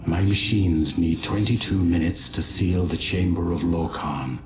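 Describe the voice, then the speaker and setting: A man playing a calm, resigned dying god in a fantasy game, speaking gravely and with measured solemnity. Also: sits low. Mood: solemn resignation. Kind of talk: solemn scripted game monologue. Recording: echoey, faint music behind.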